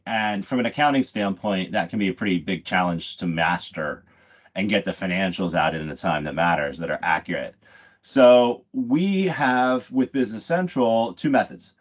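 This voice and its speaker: A man giving a live software demo in an explanatory, conversational way, often pausing mid-phrase. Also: fairly low pitch. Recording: dull, muffled lo-fi audio.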